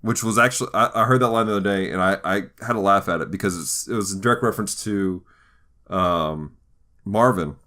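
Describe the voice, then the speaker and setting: A man's voice: low-pitched, brisk, bright, forceful tone. A man hosting a podcast, chatting casually in a jokey, easygoing conversational style. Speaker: podcast host. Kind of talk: casual chat.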